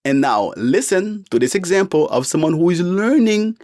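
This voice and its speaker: A man's voice: high voice, very animated, normal speed, darkish tone, dry recording. A man teaching a beginner language lesson in an upbeat, encouraging way, spelling out each phrase carefully. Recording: dry, faint music behind.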